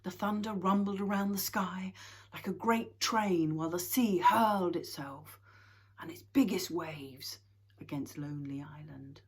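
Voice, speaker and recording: A woman reads a children's storybook aloud, often dropping into a hushed whisper. Her voice sits low and is extremely breathy and husky. The delivery is quiet and intimate. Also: measured pace.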